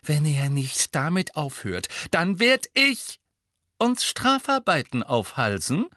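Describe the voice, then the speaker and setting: A man's voice: medium pitch, very animated, moderate pace, pushed and bright, dry recording, clean studio sound. A man voicing a strong-willed teenage schoolgirl in an audiobook story, bossy and indignant, speaking in an emphatic, scolding way.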